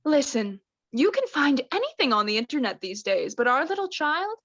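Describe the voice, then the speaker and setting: High, arch young woman as a mother, muffled. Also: very animated, normal speed, fairly bright tone, no echo. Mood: doting, fretful. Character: doting, oblivious mother, affectedly genteel wife. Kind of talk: student radio play acting. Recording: dull, muffled lo-fi home recording.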